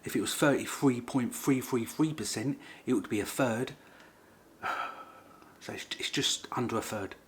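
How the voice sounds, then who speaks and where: A man's voice: medium pitch, moderate pace, a little hiss or hum. Chatty middle-aged man. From England. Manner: rambling, repeats himself, informal working-class grammar. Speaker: vlogger. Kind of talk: casual chat to camera.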